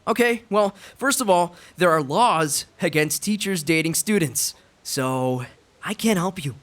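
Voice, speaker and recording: A man's voice: a little high, lively intonation, a little slow, a bit bright. A man playing an anxious, exasperated teenage schoolboy in a fan-dubbed drama, nervy and hesitant. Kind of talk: animated fandub drama acting.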